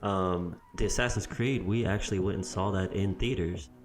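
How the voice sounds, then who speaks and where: Casting: male podcast co-host, low register, understated casual delivery, soft music behind. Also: moderate pace. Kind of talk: casual chat.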